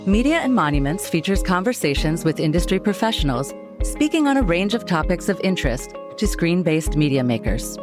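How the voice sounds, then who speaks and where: A woman with a lowish voice reads a scripted show introduction as an announcer. Instrumental music plays clearly behind her. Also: sing-song, fairly quick. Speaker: podcast announcer.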